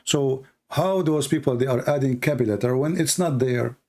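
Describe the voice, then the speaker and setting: A man's voice: medium pitch, normal speed, warm tone. A man with an Arabic accent and Arabic as his first language, debating religion insistently with challenging questions and repeated points. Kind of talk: argumentative live religious debate.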